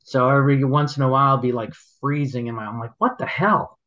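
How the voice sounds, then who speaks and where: A man telling stories in casual chat, halting and rambling, laughing often as he goes. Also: mid-range voice, steady tone, bright, forceful tone, muffled recording, a little hiss or hum. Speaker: guest, local business owner.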